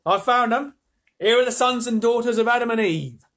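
A man's voice: very high-pitched, normal speed, a bit bright, voice pushed up. A man voicing a hearty, welcoming male beaver with a Cockney accent in a storybook reading. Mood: proud, hearty. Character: modest, hearty male talking beaver host.

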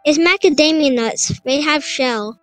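A woman's voice: sits high, measured pace, faint music behind, poor audio. A woman voicing a shy, flustered little boy in an anime fan comic dub, hesitant and stammering. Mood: explaining.

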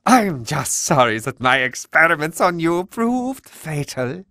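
An actor playing a pompous, diabolical mad scientist with a German accent in a video game, gloating melodramatically. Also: male voice, on the high side, big pitch swings, unhurried, bright, forceful tone, no echo, studio quality, heavily acted. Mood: smug. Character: grandiose, diabolical male mad scientist doctor. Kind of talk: theatrical video game villain acting.

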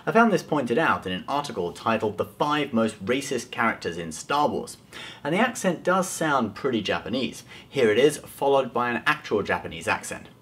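A man's voice: mid-range voice, very animated, moderate pace, a bit bright. A man in his twenties hosting a scripted explainer video about films, speaking to camera. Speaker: host of a film trivia video. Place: small indoor room at home.

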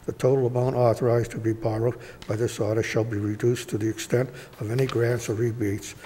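A man reading agenda items aloud at a city council meeting, in a steady, measured recitation at a desk microphone in a wood-paneled chamber. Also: mid-range voice. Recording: reverberant chamber with faint hiss.

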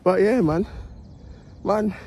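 A young man with a London accent chatting in slang to his phone camera while out jogging, with lively asides. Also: high-pitched, slow pace, slight background noise. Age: young adult. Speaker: vlogger. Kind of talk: casual vlog talk to camera. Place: outdoors in a park and streets at dawn, also a bedroom. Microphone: phone held at arm's length.

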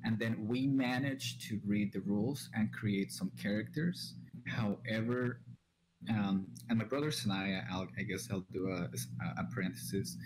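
Young man from Colombia, native Spanish speaker, guest, hesitant, lowish voice, faint background hum. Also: moderate pace. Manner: hesitant, starts with "um". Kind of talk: casual video call chat. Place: small room at home. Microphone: headset with large studio mic.

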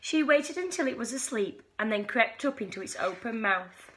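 A woman in her thirties reading a children's picture book aloud expressively, with dramatic pauses and drawn-out key words. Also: fairly high pitch, expressive, fairly bright tone, slight background noise, low-quality recording. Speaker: storyteller reading a bedtime picture book for schoolchildren. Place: small living room at home, indoors.

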